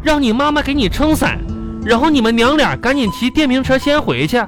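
A self-important older classmate in a comedy radio play, with a Northeastern Chinese accent. His pitch swings widely as he teases and boasts, turning mock-solemn and stretching his words. Music plays behind the voice. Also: lively intonation, fairly quick. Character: teasing older male classmate, self-important big brother. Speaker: comedy radio drama host. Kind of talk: live comic radio play acting.

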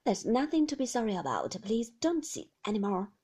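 A woman voicing a shy young wife who answers in a hurried, reassuring way. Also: fairly high pitch, very animated, warm tone, husky.

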